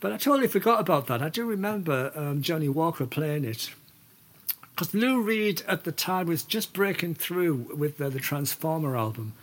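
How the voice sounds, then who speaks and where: A man's voice: on the high side, animated, normal speed. An older man chatting casually as a solo podcast host, hesitant and digressive, with wry, opinionated asides. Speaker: podcast host and amateur musician.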